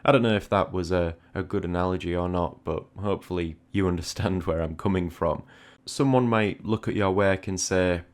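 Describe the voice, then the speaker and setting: A man with a notably deep voice talks through advice in a conversational monologue. He sounds reflective, often doubling back on a word as he works out his thought, like a channel host thinking aloud. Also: moderate pace. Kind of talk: reflective spoken monologue giving art advice.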